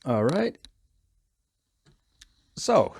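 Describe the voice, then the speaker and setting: A man with a Dutch accent, calmly thinking aloud as he works through a hands-on repair tutorial. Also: medium pitch, very slow, gravelly, husky. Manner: calm, thinking aloud while working. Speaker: repair technician.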